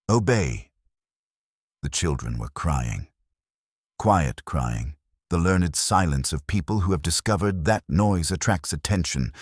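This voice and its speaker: A man narrating a scripted dramatic story in a solemn, measured way, with short clipped statements. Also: extremely low pitch, breathy edge, no echo, studio quality.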